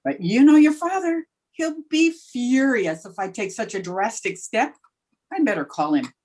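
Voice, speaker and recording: Wry female teacher played by a woman, radio sitcom. Slightly husky, animated pitch, deadpan wit. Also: unhurried. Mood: worried. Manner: dry, deadpan. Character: wry, quick-witted woman schoolteacher, deadpan wisecracker. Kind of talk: 1950s radio comedy acting.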